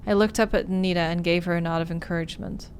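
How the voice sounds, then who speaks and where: A woman game streamer on a livestream playthrough, reading and talking through a visual novel in an expressive storytelling way. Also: on the low side, fast, slight background noise.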